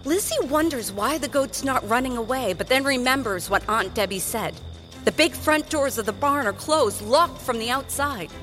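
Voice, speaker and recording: A woman's voice: high-pitched, expressive, normal speed, a bit bright, faint music behind. A woman narrating a children's drama in a scripted, suspenseful and emphatic storytelling style.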